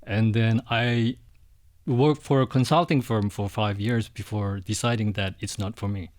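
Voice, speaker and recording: A middle-aged man from Indonesia, a Buddhist monk, answering interview questions in a hesitant, soft way with frequent restarts. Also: on the low side, steady tone, unhurried.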